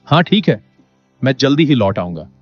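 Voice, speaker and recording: A man's voice: mid-range voice, big pitch swings, moderate pace. Cartoon story hero, male actor: humble honest villager, earnest, mid-range voice, music behind. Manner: earnest, polite. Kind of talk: animated Hindi moral story acting. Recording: dull lo-fi audio with music behind.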